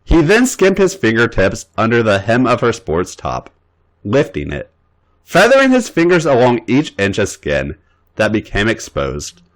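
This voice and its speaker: A man hosting a podcast, giving a dramatic, expressive reading of an erotic fan fiction story. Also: lowish voice, unhurried, poor audio.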